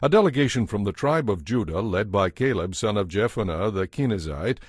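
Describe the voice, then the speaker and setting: A man reading scripted scripture narration as narrator, in a measured, formal manner. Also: fairly low pitch, lively intonation, dry recording, clean studio sound. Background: background music.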